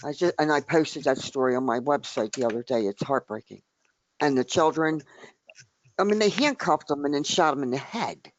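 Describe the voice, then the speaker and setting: A man in his sixties from the area near Washington D.C., speaking in a halting, emphatic way with many restarts during a casual group discussion. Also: fairly high pitch, no echo. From United States, near Washington D.C. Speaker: open mic speaker. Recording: dry, dull lo-fi recording.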